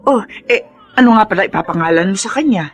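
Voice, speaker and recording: Woman acting lively, fussing middle-aged mother. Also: medium pitch, quick pace, faint music behind, lightly acted. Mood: delighted. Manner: chatty, fussing, exclamatory. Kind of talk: Filipino radio drama acting.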